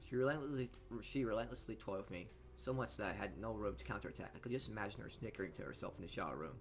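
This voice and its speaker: A man's voice: on the low side, racing. A man reading visual novel narration aloud as a let's play host, stumbling on words and repeating phrases.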